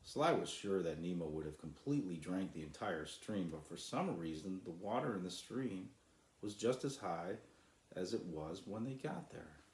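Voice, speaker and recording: A man's voice: low voice, animated, normal speed, a little mellow, reverberant. A man who is an author, reading and introducing his own children's story as a storyteller. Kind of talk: storybook narration.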